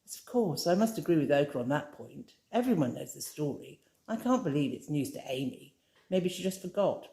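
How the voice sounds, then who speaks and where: A woman's voice: low voice, expressive, fairly quick, warm tone. Lady doing a dry fed-up sensible woman, storybook, roomy echo. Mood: exasperated. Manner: dry, exasperated. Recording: roomy echoey room.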